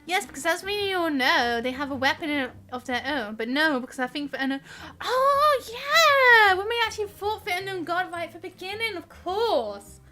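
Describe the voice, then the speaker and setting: A woman chats casually as she streams a game. Her voice is high and bright, rising and falling in an animated, giggly ramble. Soft game music plays behind her. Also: normal speed. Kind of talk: casual live game commentary.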